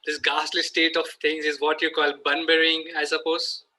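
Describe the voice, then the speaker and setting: A man acting an earnest, flustered Victorian gentleman in an amateur table read, formal and slightly halting, with a husky, forceful voice. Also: on the high side, monotone. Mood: exasperated.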